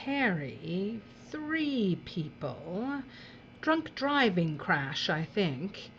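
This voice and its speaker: A woman plays a breezy, condescending female showbiz boss in an audio drama. She speaks theatrically and unhurriedly, with lively swoops in pitch and a callous edge. The recording is slightly muffled. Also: extremely slow, background noise, full character voice. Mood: coolly callous. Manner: theatrical, drawling, condescending endearments. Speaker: television executive in a drama.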